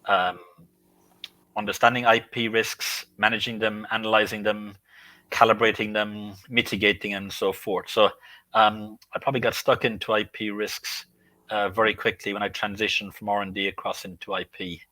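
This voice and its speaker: A man from the UK answers interview questions from his home in a measured, explanatory way. His voice is low-pitched but bright and forceful, and the sound is close and dry. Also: little pitch movement, no room sound, low-quality recording. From United Kingdom. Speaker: guest, IP consultant.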